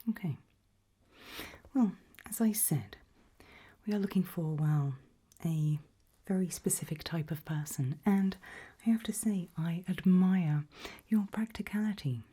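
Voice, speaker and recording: Woman acting as a polite but sinister hr lady, asmr roleplay, really breathy whisper, takes her time. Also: lowish voice. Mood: calm, veiled menace. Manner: slow, deliberate, softly spoken. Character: polite, quietly menacing female HR interviewer for evil organisation.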